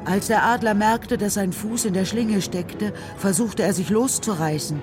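A woman narrating a children's radio play in a measured, scripted storytelling style. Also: mid-range voice, music in the background.

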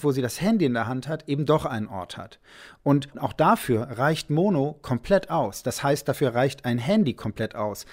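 A man's voice: medium pitch, a little mellow. A man musing in an interview, reflective, rephrasing with emphatic repetition.

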